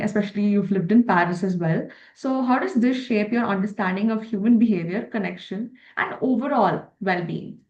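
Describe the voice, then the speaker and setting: Female interviewer, affirming and upbeat, a bit high-pitched, recorded in a roomy space with a dull tone. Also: a little mellow. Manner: effusive, affirming, enthusiastic. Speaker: podcast host. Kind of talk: interview hosting. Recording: echoey room, slightly dull sound.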